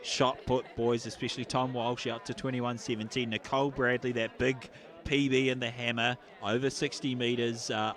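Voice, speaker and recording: A man calling a live track race, building excitement as the runners pull apart. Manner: excitable, rises in pitch and volume during the race. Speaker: athletics commentator. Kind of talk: live athletics commentary. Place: outdoor stadium.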